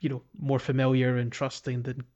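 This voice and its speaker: A man with a medium-pitched voice talks casually as a podcast host. His delivery is conversational and somewhat halting, as if he is working out each thought while he speaks. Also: completely flat delivery, a little mellow, highs cut off. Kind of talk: casual video game discussion.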